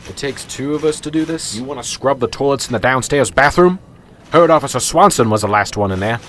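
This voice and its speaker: A man's voice: mid-range voice, fairly quick, fairly bright tone, a little hiss or hum, natural voice. A man acting in a radio drama as a flustered small-town police sergeant, apologetic, hesitant and eager to please. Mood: bossy.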